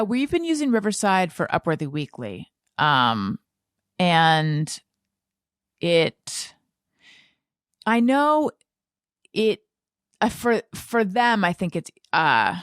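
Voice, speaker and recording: A woman's voice: deep voice, clean tone, no echo, clean studio sound. An American woman in her forties chatting casually as a podcast host, often stopping to correct herself and think aloud. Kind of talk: casual conversational interview chat.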